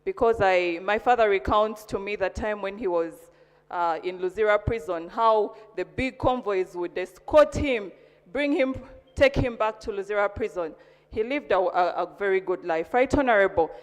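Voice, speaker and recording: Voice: medium pitch, normal speed. A member of parliament with a Ugandan accent, giving a solemn, declarative formal tribute. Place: large parliamentary chamber. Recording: echoey hall with long reverb.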